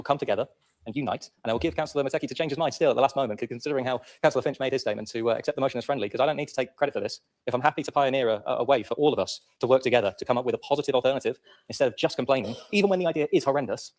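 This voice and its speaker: Man, local politician, earnest council speech, very quick pace, medium pitch, emphatic repetition. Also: darkish tone. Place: council chamber.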